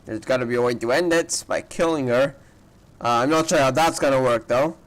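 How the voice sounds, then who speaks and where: A man's voice: mid-range voice, a little fast, low-quality recording. A man giving casual, think-aloud commentary as he plays and reacts to a video game. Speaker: gaming content creator. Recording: loud game music over voice. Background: loud game music.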